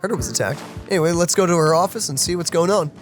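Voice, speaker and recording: Casting: animated male gamer host, high register, casual playful commentary, faint music behind. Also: very fast. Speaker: let's play host. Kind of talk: casual gaming commentary, reading dialogue aloud.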